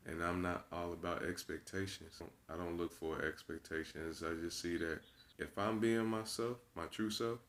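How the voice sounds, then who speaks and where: A man's voice: low-pitched, steady tone, moderate pace, fairly bright tone. A man in his twenties talking straight to his viewers, blunt and profane, preaching and repeating himself for emphasis. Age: 20s. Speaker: vlogger. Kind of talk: unscripted monologue to camera.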